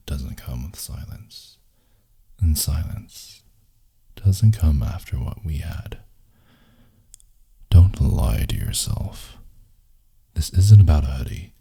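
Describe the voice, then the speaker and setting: A very deep, breathy male voice, an actor as a brooding yandere boyfriend in an ASMR audio story. He talks slowly and intimately, slipping into husky whispers with dramatic pauses. The tone is possessive and wounded, with a dark, mellow timbre. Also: full character voice. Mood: low, intense. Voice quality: very low, breathy, husky, often dropping to a whisper. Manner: slow, intense, teasing murmur with dramatic pauses.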